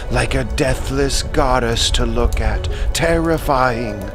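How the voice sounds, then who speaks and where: A man playing a wise, grave old man of a royal court in an audio drama, solemn and measured. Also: lowish voice. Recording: music behind the voice.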